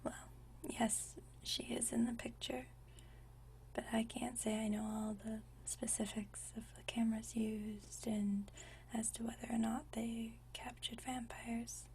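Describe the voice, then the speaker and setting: A woman playing a gentle, nostalgic century-old vampire in a whispered ASMR roleplay, speaking softly and intimately with unhurried, genteel old-fashioned phrasing. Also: fairly high pitch, little pitch movement, far from the mic, slight background noise. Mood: fond, amused. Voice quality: soft breathy whisper.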